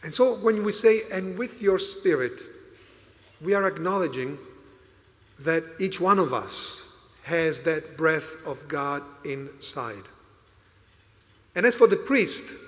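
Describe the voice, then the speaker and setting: A priest whose first language is Italian delivers a homily in a slightly high, unhurried voice. He leans on key words with emphatic rises. The sound carries a long reverb, as in a church. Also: fairly bright tone. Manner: slow, deliberate preaching with emphatic rises.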